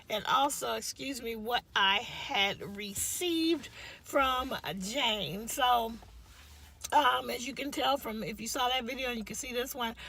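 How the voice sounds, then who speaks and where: A woman's voice: a little high, bright, forceful tone. A woman, chatting casually to camera from a parked car, rambling and laughing often, still getting over a cough. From Chicago, US Midwest. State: slight cough, recovering voice. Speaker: craft vlogger.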